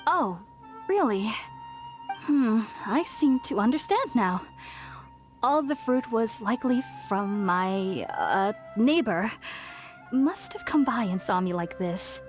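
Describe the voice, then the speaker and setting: A woman voicing a weakened, gentle young woman in a video game, breathy, hesitant and polite. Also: a little high, expressive, takes it slowly, faint music behind. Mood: thoughtful. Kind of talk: video game character acting.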